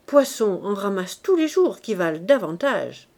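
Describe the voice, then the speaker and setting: A woman's voice: medium pitch, big pitch swings, measured pace, a little mellow. A woman reading aloud voices a haughty, bossy shopkeeper woman who speaks in a cutting, commanding way. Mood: spiteful.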